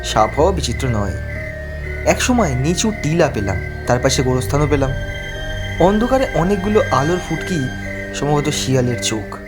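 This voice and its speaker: A man's voice: a little high, expressive, normal speed, over music. A man narrating a first-person horror story from a script. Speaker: narrator of an animated ghost story.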